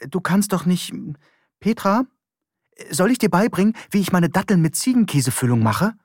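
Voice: medium pitch, big pitch swings, moderate pace, no echo, clean studio sound, voice pushed up. A narrator voicing a nervous, chatty middle-aged man, a former postman who rambles eagerly. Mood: coaxing. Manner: chatty, fussy, eager to please. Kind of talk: audiobook character voice.

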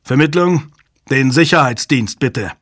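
A man's voice: mid-range voice, animated, normal speed, husky, dry recording, clean studio sound. A man voicing a resolute older male commander in an audiobook, firm and authoritative, with clipped delivery. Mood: barking orders. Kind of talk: dramatic audiobook character voice.

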